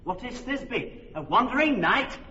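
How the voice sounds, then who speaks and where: An actor playing a reluctant young bellows mender who indignantly protests, in a radio drama. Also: male voice, very high voice, sing-song, measured pace, bright, forceful tone, full character voice. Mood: protesting. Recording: dull radio recording with hall reverb.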